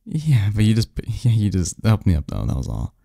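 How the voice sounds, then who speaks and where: Lovestruck young male lead, played by a man for ASMR romance roleplay: gravelly, hushed and very mellow, halting confessional delivery. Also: lowish voice, huge pitch swings, no room sound, clean studio sound, exaggerated voice. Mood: nervous, awkward. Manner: halting, breathy, murmured confession with stammers. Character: lovestruck young male research assistant confessing to his best friend. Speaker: audio roleplay performer.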